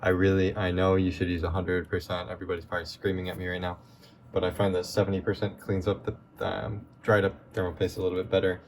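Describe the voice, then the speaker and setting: Man, lowish voice, a bit hoarse from losing his voice, casual repair chat, faint background hiss. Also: fast talker. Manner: relaxed, chatty, with many fillers. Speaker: tech repair video host.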